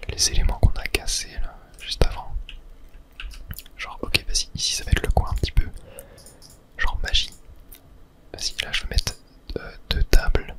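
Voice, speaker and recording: A man from whispers casual ASMR gaming commentary in a breathy, unvoiced voice, murmuring his thoughts aloud. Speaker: ASMR gaming video host.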